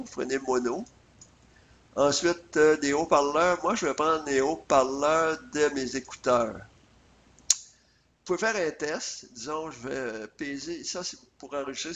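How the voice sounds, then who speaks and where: A man's voice: a little high, normal speed, fairly bright tone, a little hiss or hum. A man with a Quebec French accent narrating a casual screencast tutorial step by step, with some hesitation, on a USB computer microphone. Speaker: software tutorial presenter.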